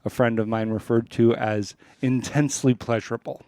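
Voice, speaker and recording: A man with a mid-range voice chats casually as a co-host. He talks haltingly, thinking aloud and circling back over his words. The sound is very dry. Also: medium pitch, fairly even intonation, darkish tone, no echo. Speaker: podcast co-host introducing a radio play. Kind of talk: casual chat.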